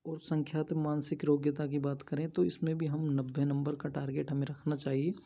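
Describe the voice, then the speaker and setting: Dull lo-fi recording, flat-toned man. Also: on the high side, brisk, vocal fry. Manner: methodical, listing point by point. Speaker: exam coaching teacher. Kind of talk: instructional study-strategy talk. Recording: dull lo-fi sound.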